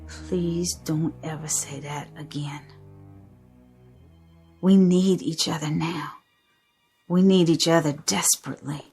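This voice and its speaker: Low-voiced, weary young woman. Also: fairly even intonation, takes it slowly, pauses often, darkish tone, husky, full character voice. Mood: gently reassuring. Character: weary, steady young woman painter enduring deadly heat. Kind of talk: 1960s television drama acting. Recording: vintage TV soundtrack with music behind.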